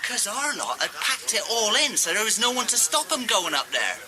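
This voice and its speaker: Very animated, high-voiced country lad. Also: male voice, moderate pace, music in the background, rough recording. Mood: earnest. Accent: rural southern English. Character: eager, earnest young village lad. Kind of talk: radio play acting.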